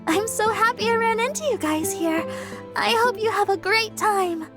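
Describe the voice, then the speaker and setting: A woman's voice: very high voice, moderate pace. A woman voicing a sweet, friendly, cheerful young woman in a video game. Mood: delighted. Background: music.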